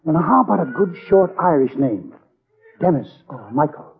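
High-ish Irish father, old radio. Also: sing-song, normal speed, gravelly. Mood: joyful. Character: excitable, tender Irish vaudevillian new father. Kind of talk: 1940s radio drama acting. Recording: narrow old radio, faint noise.

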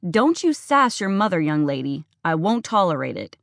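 A woman's voice: on the low side, big pitch swings, normal speed, creaky, no echo, clean studio sound. A woman voicing a strict middle-aged farm mother who scolds stiffly. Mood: stern.

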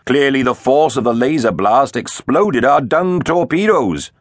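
A man's voice: mid-range voice, lively intonation, unhurried, dry recording, studio quality, voice pushed up. A man voicing a brainy, earnest dinosaur ship's officer in a children's storybook, speaking in a precise, explanatory way. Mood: analytical.

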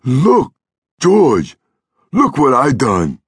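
Audiobook role: man performing simple-minded big American farmhand, childlike, halting, animated in pitch, dry recording. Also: husky, no echo, exaggerated voice. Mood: eager, happy. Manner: slow, halting, naive rural American speech.

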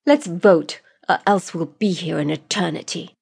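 A woman's voice: lowish voice, a little slow, gravelly, darkish tone, husky, full character voice. Woman playing a haughty, impatient lord. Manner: sneering, accusing. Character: haughty, contemptuous, impatient lord sitting in judgment.

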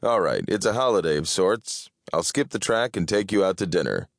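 A man's voice: low-pitched, big pitch swings, moderate pace, gravelly, dry recording, exaggerated voice. A man voicing a sardonic, grumpy male detective with a dry, irritable delivery, in an audiobook.

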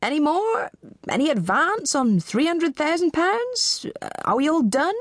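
A woman's voice: high voice, very animated, measured pace, no room sound, pitched above the performer's own voice. Woman playing a flustered, polite male auctioneer. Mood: polite, persistent. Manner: formal, apologetic, fragile authority.

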